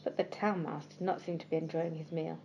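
A woman's voice: sits low, fairly even intonation, fairly quick, vocal fry, noisy background. Woman storyteller, slightly muffled recording. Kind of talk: scripted narration of children's bedtime stories.

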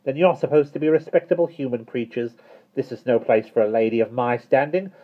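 A man voicing a stout, excitable, prim storybook housekeeper woman in a dramatic, exclaiming manner. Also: mid-range voice, a little fast, highs cut off, voice pushed up, natural voice. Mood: disgusted, indignant.

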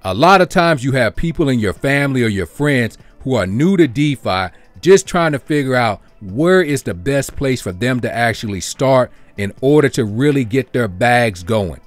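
A man hosting his own channel, explaining an investing strategy step by step over a screen recording in a steady, explanatory way. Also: medium pitch, moderate pace, hardly any pauses, no echo, soft background music. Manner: steady, explanatory, walks through figures step by step. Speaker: crypto channel host. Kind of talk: screen-share walkthrough narration.

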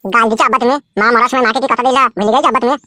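A woman's voice: fairly high pitch, fairly even intonation, racing, bright, forceful tone, dry recording. A woman voicing a plaintive, self-pitying young man in a comedy cartoon. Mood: aggrieved. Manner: plaintive, complaining. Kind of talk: Bengali comedy cartoon dubbing.

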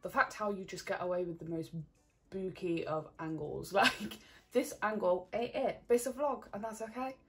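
A woman's voice: mid-range voice, moderate pace. A young woman, chatting casually to camera in her bedroom, rambling with lots of fillers and laughs. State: slightly croaky morning voice. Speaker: vlogger. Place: bedroom at home, indoors. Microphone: camera on a desk in front.

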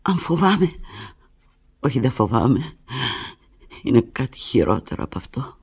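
An actress playing a desperate, lovelorn woman on the telephone to the lover who left her, in a theatrical radio drama monologue, halting and emotional with a breathy, husky voice. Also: very deep voice, sing-song, exaggerated voice. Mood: sad, tender. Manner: halting, emotional, swinging from hushed murmurs to urgent cries.

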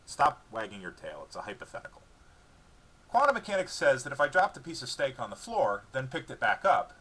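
A man's voice: mid-range voice, sharp tone, slightly rough. A man reading his own book dramatically, moving between narration and dialogue in a wry, explanatory way.

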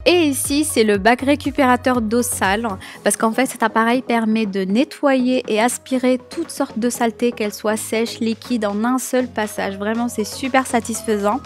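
A woman's voice: fairly high pitch, normal speed, hardly any pauses, soft background music. A young woman narrating a cleaning video voice-over, sharing tips with enthusiasm and frequent hesitations. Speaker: home cleaning vlogger.

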